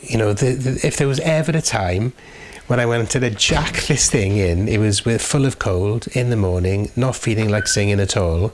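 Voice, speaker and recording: A man's voice: fairly low pitch, moderate pace, hardly any pauses, slight background noise. A Welsh man, a choir member with a head cold, talking about joining a choir in a wry, self-mocking way. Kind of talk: casual interview.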